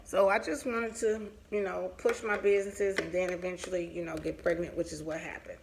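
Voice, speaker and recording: A woman's voice: lowish voice, level tone, brisk, creaky, noisy background. A woman in her forties sharing a personal story in a candid, casual, conversational way, as in a vlog. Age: 40s. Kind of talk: casual personal storytelling while getting ready.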